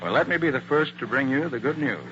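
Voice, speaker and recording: An actor playing a confident, tough private detective in a 1940s radio drama, with an assured, commanding manner. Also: male voice, medium pitch, animated, quick pace, fairly bright tone, lightly acted. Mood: calm, assured. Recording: muffled, hissy old radio recording.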